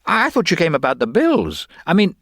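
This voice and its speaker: A man's voice: a little high, huge pitch swings, normal speed, a bit bright, dry recording, clean studio sound, voice pushed up, heavily acted. A man voicing an irritable young spendthrift in a dramatised story, blustering and indignant. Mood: indignant, flustered. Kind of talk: dramatised audiobook reading.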